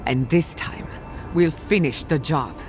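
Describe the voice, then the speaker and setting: A woman's voice: deep voice, unhurried, a little hiss or hum. An actress voicing a tough, resolute female fighter in a fantasy video game, grim and hard-edged. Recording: voice under loud battle music.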